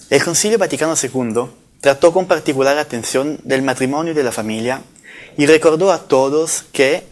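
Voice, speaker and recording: A man from Italy with an Italian accent, whose first language is Italian, giving a formal academic lecture in Spanish that he reads from notes in a measured way with the odd self-correction. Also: medium pitch, a little hiss or hum. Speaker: conference speaker.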